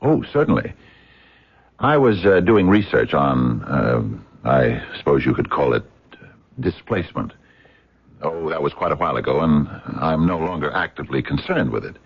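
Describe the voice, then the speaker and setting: Very deep-voiced, bumbling American physicist. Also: male voice, lively intonation, moderate pace, slightly rough, full character voice. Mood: fussy, eager. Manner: hesitant, polite, wordy, stammering. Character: earnest, bumbling American physicist abroad, courteous and curious. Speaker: actor in a radio mystery. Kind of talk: radio drama acting. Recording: dull, muffled radio drama recording.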